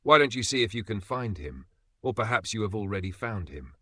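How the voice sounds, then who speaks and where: A man's voice: on the low side, big pitch swings, a bit bright, no room sound. A man voicing an enthusiastic, chatty young Italian man talking on the phone. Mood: eager. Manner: eager and rushing.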